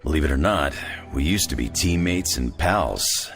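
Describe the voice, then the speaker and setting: Gruff veteran game hero, bottomless bass voice, heavy gravel, wry, laconic, folksy. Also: male voice, lively intonation, moderate pace, faint music behind. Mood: candid, easygoing. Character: gruff, wry veteran fighter, rugged middle-aged man. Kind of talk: video game cutscene dialogue.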